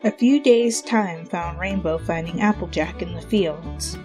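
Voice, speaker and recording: A woman's voice: lowish voice, unhurried, dark tone, soft background music. A woman giving a scripted dramatic reading of a story as its narrator.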